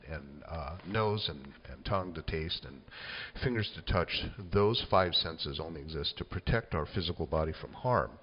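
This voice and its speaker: A man in his sixties hosting a casual spiritual monologue, musing and unhurried, with many fillers like "you know" and "uh.". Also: sits low. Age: 60s. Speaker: channel host. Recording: echoey, dull lo-fi room sound.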